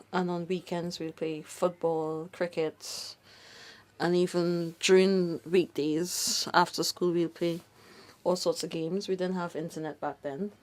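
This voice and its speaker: Woman, 30s, from Trinidad and Tobago, lowish voice, reminiscing interview talk. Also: darkish tone. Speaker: hostel resident. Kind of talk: interview about her life.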